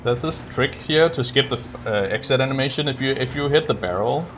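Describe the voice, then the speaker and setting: A man's voice: mid-range voice, a little fast, a bit bright, slight background noise. A man giving casual, self-critical commentary while streaming a video game speedrun.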